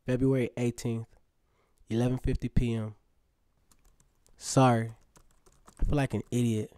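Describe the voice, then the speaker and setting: A man's voice: mid-range voice, level tone, measured pace, warm tone, lightly acted. A man acting an earnest, lovestruck seventeen-year-old boy who reflects aloud as he reads his letters in a radio drama. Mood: lovestruck, pleading.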